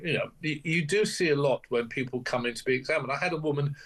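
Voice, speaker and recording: A man's voice: on the high side, quick pace, a bit bright. An older British man speaking as a doctor in a broadcast interview, candid and emphatic in a conversational way.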